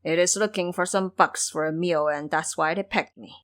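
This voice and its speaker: A woman's voice: fairly low pitch, fairly even intonation, normal speed, a bit bright, lightly acted. A woman voicing a lazy, self-pitying pig-man fool in an audio drama, grumbling and exclaiming indignantly. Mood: sulky, annoyed. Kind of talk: audio drama character acting.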